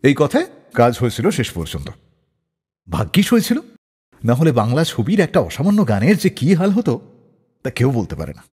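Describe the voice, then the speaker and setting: A man's voice: medium pitch, huge pitch swings, normal speed, pauses often, darkish tone, slightly rough. A man hosting a radio show, telling anecdotes in a theatrical way and acting out dialogue. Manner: theatrical, acts out quoted dialogue. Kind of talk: dramatic anecdotal storytelling narration. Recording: long reverb over music bed. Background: background music.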